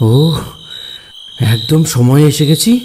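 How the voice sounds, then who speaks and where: A man playing a greedy, self-serving government officer in an animated cartoon, expressive and full of exclamations. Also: fairly high pitch, slow, background noise. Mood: hurried, relieved. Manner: expressive, exclaiming, talks to himself. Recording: music bed behind the voice.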